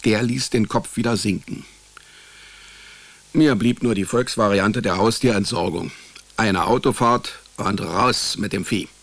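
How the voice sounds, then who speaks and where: An actor playing a wry, hapless male private detective, deadpan in his narration and stammering when cornered, in a radio drama. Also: lowish voice, a little slow, creaky, no echo, full character voice. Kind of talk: radio drama, first-person hardboiled comic narration.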